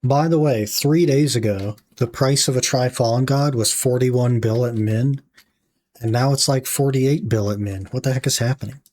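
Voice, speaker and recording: A young man with a raspy voice, casually chatting to his stream audience while he plays. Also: mid-range voice, normal speed. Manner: relaxed, musing aloud while playing, talking to chat. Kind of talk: casual gaming livestream chat.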